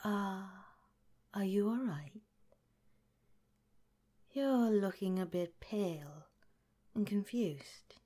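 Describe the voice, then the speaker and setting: A woman voicing a calm, caring woman scientist director in an ASMR roleplay, speaking gently and politely in a soft, whispery way. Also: mid-range voice, drawn-out words, long pauses. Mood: gentle, reassuring.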